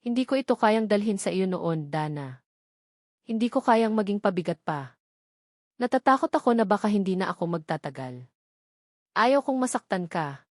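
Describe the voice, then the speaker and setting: A woman's voice: mid-range voice, animated, moderate pace, long pauses, no room sound, clean studio sound, close to an everyday voice. A woman narrator voicing a remorseful man who earnestly confesses to his lost love in an audio romance story.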